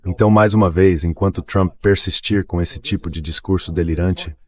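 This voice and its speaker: A man's voice: sits low, steady tone, moderate pace, a little mellow. A man giving political analysis as a commentator, in a synthetic translated voice-over. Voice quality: synthetic dubbing voice. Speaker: commentator guest.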